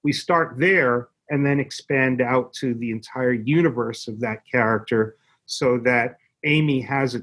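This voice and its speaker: A man speaking as an expert interview guest, explaining conversationally in a halting, emphatic way and repeating words for effect. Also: medium pitch, a bit bright. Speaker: interview guest, media company executive.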